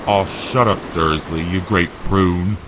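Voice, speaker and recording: A man voicing a huge, gruff but friendly giant with rustic, blunt speech in an audio drama. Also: deep voice, fairly even intonation, takes it slowly, noisy background. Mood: gruff, scornful. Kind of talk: audio drama character acting.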